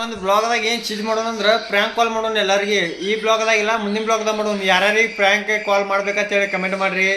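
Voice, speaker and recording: A man with a North Karnataka accent chatting casually to viewers as a vlogger, in slangy speech mixed with English words. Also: high voice. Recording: roomy echo, faint background noise.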